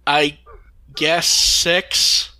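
An American man from California hosting a casual podcast, animated and casually profane. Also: a little high, drawn-out words. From California, United States. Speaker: podcast host.

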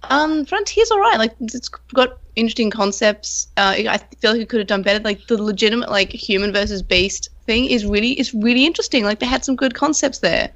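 A woman co-hosting a podcast in casual chat, with an informal, halting way of talking full of filler words. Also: fairly high pitch, a bit bright, highs cut off. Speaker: podcast co-host.

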